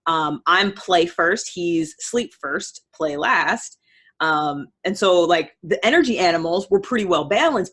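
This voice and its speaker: A woman's voice: lowish voice, breathy edge. An American woman, around her thirties, talking casually and informally as she explains things in a podcast-style monologue. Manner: informal, conversational, peppered with personal examples. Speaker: podcast host.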